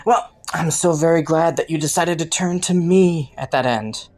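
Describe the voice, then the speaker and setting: A woman's voice: low-pitched, normal speed. A woman playing a flirty, taunting underworld criminal in a roleplay audio, with a playful, teasing drawl. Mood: flirty, sly. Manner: playful, teasing drawl with frequent soft chuckles.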